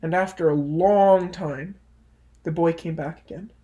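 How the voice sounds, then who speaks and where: A man narrating a children's picture book aloud as a storyteller, measured and unhurried with soft line endings. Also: fairly high pitch, fairly even intonation, lo-fi sound. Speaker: storyteller reading aloud for a library.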